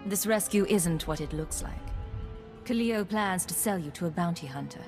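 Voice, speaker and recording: A woman playing a composed secret agent in a video game, measured and cool with a dry wit. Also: lowish voice, natural voice. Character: composed, principled female secret agent, dryly witty. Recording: long reverb, music behind.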